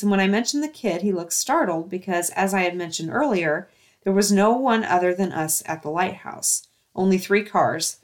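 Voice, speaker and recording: A woman reads stories aloud as the host of a show. She has a middle-pitched voice and keeps the delivery casual and chatty, like someone sharing submissions with a friend.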